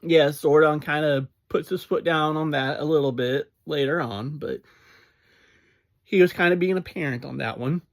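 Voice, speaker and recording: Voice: medium pitch, normal speed. A fan in their thirties or forties giving a casual, chatty spoken review, laughing now and then.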